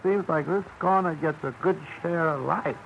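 Creaky old American small-town codger. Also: male voice, on the high side. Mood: gossipy. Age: older. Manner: folksy, unhurried, rambling. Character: slow-talking old small-town male idler, gossipy, folksy. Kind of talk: 1940s radio acting. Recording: dull, noisy old radio recording.

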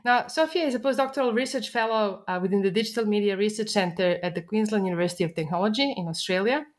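A woman hosting a book podcast, introducing her guest and asking measured questions. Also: medium pitch. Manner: measured, friendly questions with fillers. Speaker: podcast host. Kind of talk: interview hosting.

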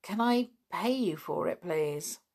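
A woman's voice: fairly low pitch, lively intonation, slow pace, darkish tone, heavily acted. A woman voicing a proud, emphatic tomboy girl in a storybook reading. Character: bold, proud tomboy girl who owns an island.

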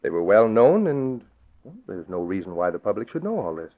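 A crooked lawyer, slick and oily, who turns defensive and blustering when pushed. His pitch swings lively across a lowish voice. The vintage radio recording sounds thin and tinny, like a phone line. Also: fairly quick. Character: crooked, smooth-talking male lawyer. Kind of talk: 1940s radio acting.

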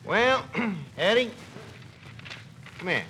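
An actor playing a cocky, gullible New York tavern manager in a radio comedy, with a New York accent and mangled pompous phrasing, on an old broadcast recording. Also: male voice, high-pitched, very animated, very slow, bright, forceful tone, slight background noise, exaggerated voice, rough recording. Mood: earnest, defensive. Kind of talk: 1940s radio comedy acting.

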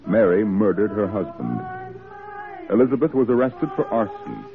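A man's voice: fairly low pitch, very animated, normal speed, vocal fry. A man delivering dramatic scripted narration in the style of old-time radio drama. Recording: muffled vintage radio recording. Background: loud music.

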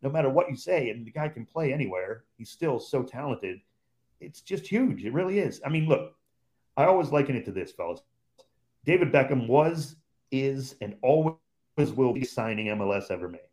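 A man's voice: medium pitch, lively intonation, moderate pace, a little mellow. A man who calls games on the radio, a guest on a podcast chat, talking in an emphatic, opinionated way and repeating points for stress.

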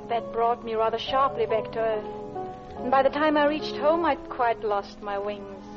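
Actress doing a lovelorn english wife in old radio drama, high clipped genteel voice, muffled recording. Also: female voice, unhurried, over music, close to an everyday voice. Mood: dreamy. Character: married middle-class Englishwoman, guilty, lovelorn, restrained. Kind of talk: old radio drama acting, first-person narration.

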